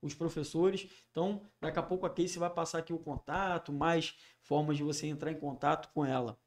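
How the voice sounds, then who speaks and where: A man's voice: a little high, steady tone, fairly quick. A man hosting a casual interview, talking in a loose conversational way with many fillers. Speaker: host.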